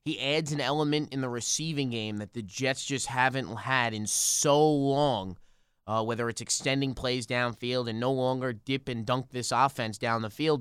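An American man gives conversational sports analysis as a podcast co-host. His mid-range voice is clean and smooth, with a very dry recording. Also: medium pitch, a bit bright, dry recording.

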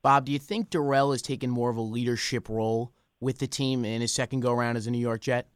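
A man asks questions as co-host of a sports radio show. His voice is clean and smooth at a middling pitch. The recording is dry, with very little room sound. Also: steady tone, fast talker, no echo.

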